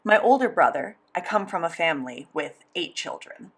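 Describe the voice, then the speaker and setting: A woman voicing a sign language interview as interpreter, speaking in a measured, steady first-person delivery. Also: lowish voice, breathy edge.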